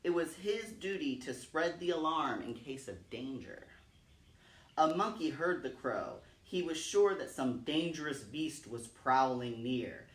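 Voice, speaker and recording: A man reading a children's story aloud in an expressive, dramatic way, repeating phrases for emphasis. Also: a little high, unhurried. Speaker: storytime reader. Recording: roomy, echoing, distant microphone.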